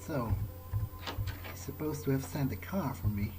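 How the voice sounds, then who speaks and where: A man's voice: mid-range voice, a little slow, noisy background, natural voice. A man roleplaying a wary, earnest vampire game character, muttering his thoughts hesitantly in an everyday voice. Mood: uneasy, musing. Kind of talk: in-character game playthrough commentary. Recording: loud game music behind.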